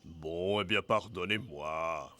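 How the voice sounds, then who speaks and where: A man's voice: low voice, slow, bright, forceful tone, exaggerated voice. A man voicing a sly trickster owl in a cartoon, with an exaggerated, wheedling manner. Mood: sheepish, sly. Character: sly male owl trickster, feigning offended honesty. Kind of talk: French cartoon character acting.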